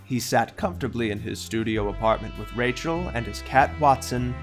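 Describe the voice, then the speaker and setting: A man's voice: medium pitch, animated, moderate pace, over music, natural voice. A man playing a snarky, jaded narrator of a comedic audio play, full of sarcastic asides. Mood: exasperated, jaded. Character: snarky, jaded male storyteller who hates his job.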